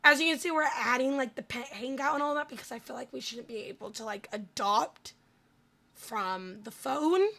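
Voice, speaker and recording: A woman with a hoarse, raspy voice that cracks in and out, giving casual, rambling, self-deprecating voice-over commentary on gameplay. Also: high-pitched, normal speed, pushed and bright. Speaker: gaming video host.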